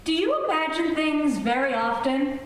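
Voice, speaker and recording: A firm, skeptical store detective acted by a woman with a high voice and dry sarcasm, in 1940s radio comedy style. It is heard from far off in a very echoey room. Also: lively intonation, normal speed, a little hiss or hum. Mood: stern, skeptical. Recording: very echoey, distant.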